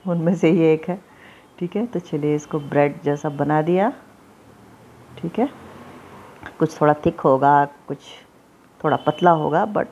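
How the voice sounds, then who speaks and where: A woman's voice: low-pitched, a little mellow, muffled recording. Chatty woman hosting a cooking video. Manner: chatty, repeats "theek hai" often. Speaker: cooking channel host. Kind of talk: casual recipe demonstration. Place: home kitchen, voice-over.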